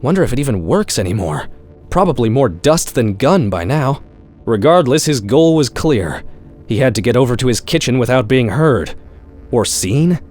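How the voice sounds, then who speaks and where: A man's voice: mid-range voice, normal speed. Man narrating darkly comic story over music. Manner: expressive, wry, profane. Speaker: author reading own short story. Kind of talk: dramatic horror-comedy story narration. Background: background music.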